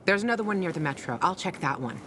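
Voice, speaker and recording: A woman's voice: fairly low pitch, lively intonation, fast, a bit bright, slight background noise. An actress playing a tough, sardonic young woman guiding a newcomer, brash and teasing, in a game cutscene. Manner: brash, teasing, swears freely.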